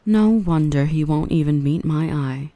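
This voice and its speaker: A woman playing a dominant yet protective dark elf mistress in an ASMR roleplay, by turns cutting and tender. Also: deep voice, measured pace, no room sound. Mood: stern, menacing. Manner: stern and cutting to traders, tender and coaxing to the human. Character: dominant female dark elf, protective, gentle with her rescued human.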